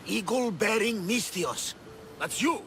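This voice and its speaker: An actor playing an excitable older ship captain in a video game, telling things theatrically. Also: male voice, sits high, slow, slight background noise, exaggerated voice. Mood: theatrical reading. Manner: theatrical, animated storytelling. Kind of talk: video game cutscene acting.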